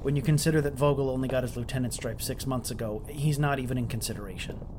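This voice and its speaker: A man's voice: medium pitch, steady tone, quick pace, natural voice. A man playing a competitive, sarcastic starship engineering lieutenant in an audio drama, argumentative and matter-of-fact. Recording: clear ship ambience noise behind.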